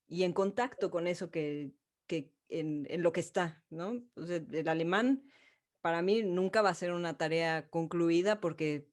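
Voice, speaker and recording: Reflective woman, lower voice. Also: a little mellow. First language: Spanish. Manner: reflective, thinks aloud. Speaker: host. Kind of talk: interview conversation.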